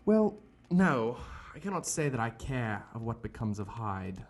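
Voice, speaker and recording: A man acting a shaken, remorseful gentleman doctor in an audio drama, speaking formally and earnestly. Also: medium pitch, very animated, measured pace. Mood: troubled, earnest.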